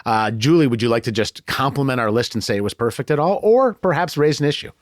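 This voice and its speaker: A man's voice: medium pitch, lively intonation, fast, dry recording, clean studio sound. An American man hosting a conversational podcast with playful, jokey banter. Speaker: podcast host.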